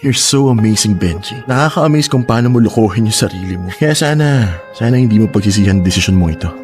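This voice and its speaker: An actor playing a persistent, lovestruck young coworker, confronting and challenging in a Tagalog radio drama. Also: male voice, on the low side, lively intonation, fast talker, darkish tone, soft background music, lightly acted. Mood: bitter hurt.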